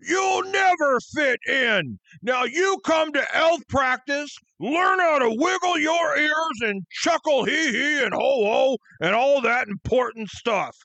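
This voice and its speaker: Shrill, gravelly, bossy foreman elf. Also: very animated, slow, dry recording, full character voice. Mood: furious, shouting. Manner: scolding, exasperated, shouting. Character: bossy, hot-tempered male foreman elf in Santa's workshop. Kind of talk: comic Christmas radio play acting.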